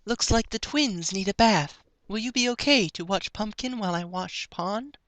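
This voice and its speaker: A man's voice: sits high, normal speed, a bit bright, no echo, pitched above the performer's own voice. A man voicing a sweet, doting mother pony who coos to her baby in a story reading. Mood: cheerful. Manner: cooing, sing-song motherly talk.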